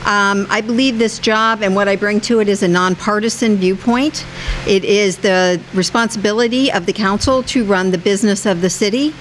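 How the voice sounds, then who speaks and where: A middle-aged American woman gives a prepared speech as a council candidate. Her voice sits at a medium pitch. There is faint noise in the background. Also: steady tone, normal speed, rough recording.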